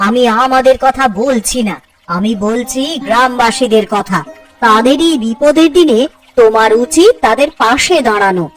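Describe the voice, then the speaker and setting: A woman plays the nagging, principled wife of a village headman in a cartoon tale. Her voice is fairly high, earnest and reproachful, slightly distant over soft music. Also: normal speed, pauses often, natural voice. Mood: concerned, reproachful. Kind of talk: cartoon fairy tale dialogue.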